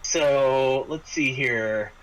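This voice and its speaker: A man running a tabletop game as game master, narrating off the cuff in a halting way with repeated words. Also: medium pitch, fairly bright tone, lo-fi sound. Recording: noisy home microphone.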